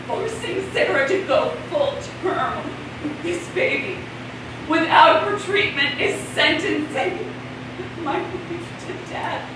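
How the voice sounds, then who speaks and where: A woman's voice: very high voice, slow. A woman acting an indignant woman in crisis in a stage play, projecting her monologue with emphatic, impassioned delivery. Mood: anguished pleading. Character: indignant, anguished women in crisis over lost rights. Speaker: stage actor. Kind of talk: theatrical monologue in a one-act play. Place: indoor stage before a seated audience. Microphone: distant camera. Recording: echoey, noisy hall from far off.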